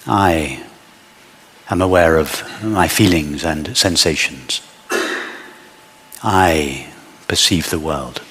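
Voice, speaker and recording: A man leading a guided meditation as a teacher, speaking in measured short phrases with long pauses. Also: very deep voice, a bit bright, in a large hall, slight background noise.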